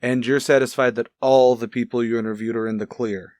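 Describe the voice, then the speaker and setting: A man's voice: mid-range voice, fairly even intonation, a little fast, a little mellow, no echo, studio quality, lightly acted. Man playing a firm, demanding police captain. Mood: questioning. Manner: firm, clipped, commanding. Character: gruffly demanding male police captain pushing his detectives. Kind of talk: hard-boiled police radio drama dialogue.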